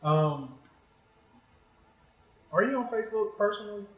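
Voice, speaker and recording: A man's voice: high-pitched, expressive, a little slow, a bit bright. An American man hosting a casual interview in an informal, teasing, playful way. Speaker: show host interviewing a guest. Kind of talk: casual, joking interview chat. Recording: echoey, reverberant room.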